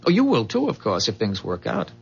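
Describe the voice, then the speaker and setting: An actor playing a polite, calm inventor from the future in a 1970s radio drama, speaking precisely and without fluster. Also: male voice, medium pitch, fairly quick, gravelly, slightly rough, heavily acted. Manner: courteous, precise, unruffled. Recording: dull 1970s radio recording with music.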